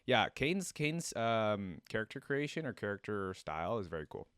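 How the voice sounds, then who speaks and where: A man's voice: fairly low pitch, sing-song, normal speed, noisy background. A man giving casual, informal reaction commentary and chatting to his viewers. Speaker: reaction video host. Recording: music behind the voice. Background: game trailer music.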